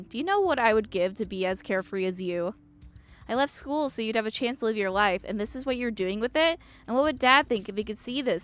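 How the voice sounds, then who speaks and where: A woman's voice: mid-range voice, a bit bright, clear, resonant tone, lightly acted. A woman voicing an exasperated, responsible older sister in a game, indignant and reproachful as she scolds her brother.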